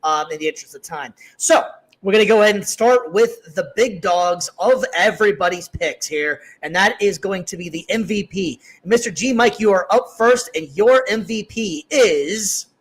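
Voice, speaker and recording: A man's voice: high voice, moderate pace. A man hosting a casual sports talk show, upbeat and leading the segment with plenty of fillers. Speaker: podcast host.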